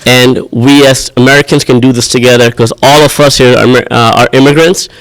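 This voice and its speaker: A man's voice: mid-range voice, steady tone, fairly quick, poor audio. A man gives a plain, sincere statement at a press conference, with a few hesitant restarts.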